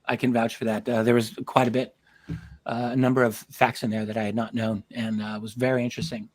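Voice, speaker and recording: A man hosting a conversational interview, with halting, measured phrasing. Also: medium pitch, level tone. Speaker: talk show host, interviewer.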